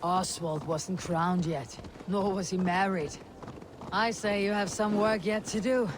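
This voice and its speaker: A woman playing a stern, resolute Viking raider leader in a game, speaking in a blunt, measured, commanding way. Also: mid-range voice, fairly even intonation, background noise, natural voice.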